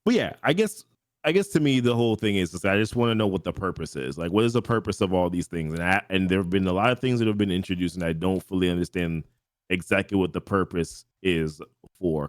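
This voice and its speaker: A man with a lowish voice chats on a live-streamed podcast, circling back over the same points as he thinks them through. He speaks right into a close dynamic mic, and the sound is dry with no room echo. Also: fairly quick. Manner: rambling, repeats himself while thinking aloud. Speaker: podcast co-host. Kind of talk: casual chat. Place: home studio, streaming live.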